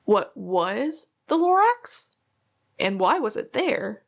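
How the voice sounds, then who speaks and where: Slow storytime lady, kinda high voice, very expressive, reading a rhyming kids book. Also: creaky. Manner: expressive, theatrical delivery for children. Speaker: children's storybook reader. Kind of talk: read-aloud storytelling of a rhyming picture book.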